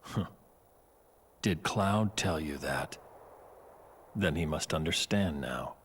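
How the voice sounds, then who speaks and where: A menacing, aloof video game villain with an extremely deep voice. He speaks slowly and deliberately, taunting in a cold, calm way. Faint noise sits behind the voice. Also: heavily acted. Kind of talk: video game cutscene acting.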